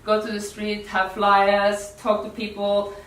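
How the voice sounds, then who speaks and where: A woman from Norway with a Norwegian accent gives an informal workshop talk in non-native English, halting and repeating words. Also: medium pitch, monotone. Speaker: activist workshop speaker. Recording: echoey room, distant mic.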